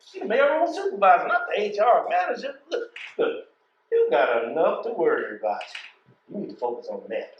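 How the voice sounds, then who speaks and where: A man's voice: sits high, big pitch swings, fairly quick, lo-fi sound. A man with an American accent acting in a stage play as a loyal, teasing postal carrier, with a folksy, cajoling way of talking. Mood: disbelieving, insistent. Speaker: stage actor. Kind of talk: stage play dialogue, colloquial. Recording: echoey stage, distant mic, noisy.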